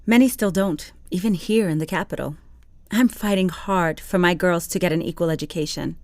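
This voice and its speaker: A woman playing a warm, determined schoolteacher of the 1830s in an audio drama, measured and formal. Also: fairly low pitch, dry recording, clean studio sound, lightly acted. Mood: kind, firm. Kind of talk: scripted audio drama dialogue.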